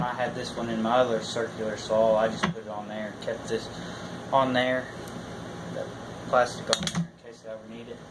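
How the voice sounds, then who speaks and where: A plain-spoken man giving a casual, halting walkthrough of his tools as a working plumber. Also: fairly low pitch. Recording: clearly noisy home video, steady hum.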